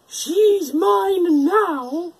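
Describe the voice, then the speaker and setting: A storyteller voicing a wicked, possessive witch in a shrill, screechy, declaiming storybook voice. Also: creaky, full character voice. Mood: enraged. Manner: drawn-out, declaiming.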